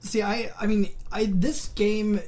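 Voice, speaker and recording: A man co-hosting a podcast, talking haltingly with many restarts and jokes. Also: high-pitched, level tone, noisy background. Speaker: podcast co-host. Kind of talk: casual chat.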